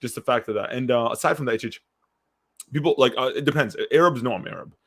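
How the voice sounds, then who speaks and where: Fast-talking man from Syria. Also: medium pitch. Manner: fast, animated, lots of restarts and fillers. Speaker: podcast co-host. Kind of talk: casual conversational podcast chat.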